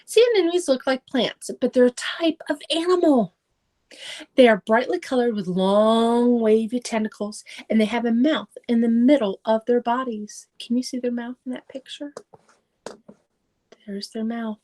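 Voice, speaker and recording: A woman's voice: fairly high pitch, normal speed, a little mellow. A middle-aged woman reading a children's nonfiction book aloud, carefully, with simple explanatory asides for young listeners. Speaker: children's read-aloud presenter.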